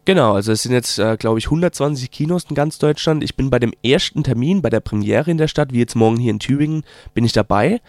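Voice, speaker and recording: Palatinate German man, mid pitch, hesitant filler-heavy delivery, dry close recording. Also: animated, a little mellow, dry recording. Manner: hesitant, many filler sounds, slow drawn-out phrasing. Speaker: documentary filmmaker guest. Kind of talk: casual interview answers.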